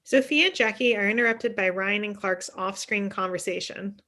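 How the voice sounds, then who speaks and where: Woman, twenties, scripted narration, bright, mid-pitched, video call. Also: moderate pace. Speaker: stage directions reader. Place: indoor room on a video call.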